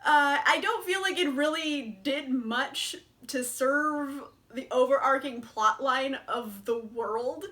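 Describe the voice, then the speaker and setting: A woman's voice: sits high, slow, pushed and bright. A young woman casually reviewing books in a chatty vlog, laughing often and swearing freely. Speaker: book reviewer.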